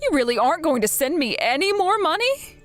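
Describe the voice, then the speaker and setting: A woman voicing a manipulative, whining mother who pleads and wheedles in a high voice for money in a dramatized story. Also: very animated, brisk, faint music behind. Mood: begging.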